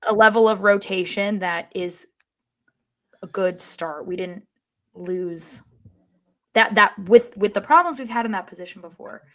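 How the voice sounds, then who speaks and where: A woman's voice: medium pitch, a little slow, long pauses, fairly bright tone. A woman co-hosting a soccer fan podcast in casual conversation. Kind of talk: casual sports chat.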